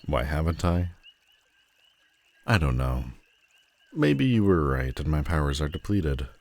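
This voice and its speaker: Man acting a very deep-voiced sardonic supervillain. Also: very animated, darkish tone, no echo, exaggerated voice. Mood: wry, curious. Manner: mocking, slow deliberate delivery with dramatic pauses. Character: sardonic, menacing male telepathic supervillain, world-weary and theatrical. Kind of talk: scripted audio roleplay addressed to the listener.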